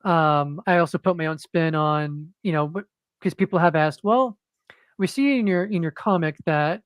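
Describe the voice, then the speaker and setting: A man speaking as a guest comic book creator in a casual interview, monotone and hesitant, with many fillers and restarts. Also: on the high side, normal speed, no echo.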